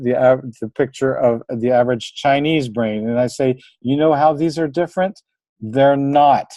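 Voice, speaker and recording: A man's voice: mid-range voice, warm tone, no room sound. Encouraging man teaching a webinar. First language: English. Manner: encouraging, conversational with fillers. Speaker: language teacher. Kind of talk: webinar presentation.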